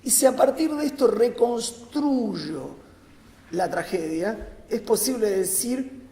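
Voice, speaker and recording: A man giving an improvised philosophical talk on a panel, phrasing deliberately and emphatically in short bursts with pauses. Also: high-pitched, animated, warm tone. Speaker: panel speaker, academic. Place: large reverberant conference room. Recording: echoey hall with long reverb.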